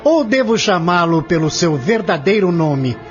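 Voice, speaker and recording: A man as an assertive, high-voiced courtroom prosecutor. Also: very animated, unhurried. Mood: confident, accusing. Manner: formal, emphatic, declamatory. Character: assertive male prosecutor, confident, accusing, formal. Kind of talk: audio drama acting. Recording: muffled lo-fi audio with music.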